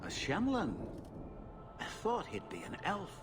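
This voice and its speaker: A man's voice: high-pitched, huge pitch swings, a little slow, heavy background noise, heavily acted. A man voicing a suspicious, threatening elven camp guard in a fantasy game, full of disdain. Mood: disdainful.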